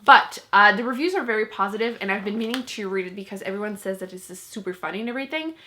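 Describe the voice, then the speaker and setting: A woman's voice: mid-range voice, fast talker, pushed and bright. A young woman whose first language is French, chatting casually to camera as a book vlogger, laughing often and poking fun at herself.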